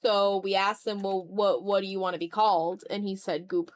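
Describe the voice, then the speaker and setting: A woman with a mid-pitched voice hosts a casual art livestream. She sounds tired and low-key, rambling along to her viewers while she draws. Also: flat delivery, normal speed. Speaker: streamer hosting a live art stream.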